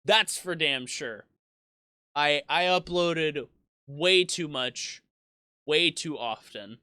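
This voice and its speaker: A young man in his twenties talks through his memories in a loose, rambling way, often restarting his sentences and poking fun at himself. His voice is bright and forward, and the sound is crisp and smooth. The recording is dry and close. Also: a little high, slow, no room sound. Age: 20s. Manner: self-deprecating. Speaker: YouTube gaming creator. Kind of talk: casual reflective monologue.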